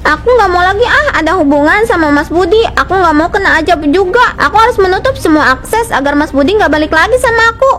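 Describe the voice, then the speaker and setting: A woman's voice: very high voice, expressive, fast talker, a bit bright, soft background music. A woman voicing a young mistress who nags and accuses her lover. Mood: scared, harsh. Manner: nagging, accusing. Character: young mistress, suspicious then spooked and harsh.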